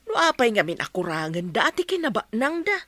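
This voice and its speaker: A woman's voice: fairly high pitch, very animated, a little fast, bright, forceful tone, no echo, heavily acted. A woman playing a talkative, cheeky housemaid with an Ilocano accent in a comedy radio drama. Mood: chatty, deferential. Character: sassy, talkative housemaid, respectful yet cheekily outspoken.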